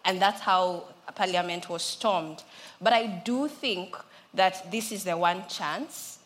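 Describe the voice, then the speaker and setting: Woman, lowish voice, earnest, candid, panel talk. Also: slow pace, a bit bright. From Kenya. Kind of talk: panel discussion.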